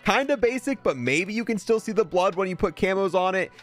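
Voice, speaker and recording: A man's voice: high-pitched, animated, a little fast, faint music behind. A man giving casual, conversational commentary as the host of a gaming review, thinking aloud as he goes. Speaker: gaming video host reviewing a cosmetic bundle. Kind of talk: casual video game commentary.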